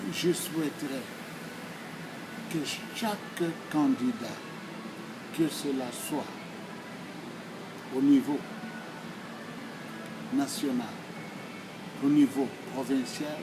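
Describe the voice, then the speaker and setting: A man in his sixties with a Congolese accent, giving formal answers in a deliberate, emphatic, oratorical way, phrase by phrase. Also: medium pitch, background noise. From Democratic Republic of the Congo. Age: 60s. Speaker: speaker answering questions.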